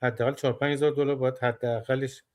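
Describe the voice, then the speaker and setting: A man from Iran answering as an interview guest, speaking quietly and hesitantly, trailing off. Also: medium pitch, little pitch movement, very fast.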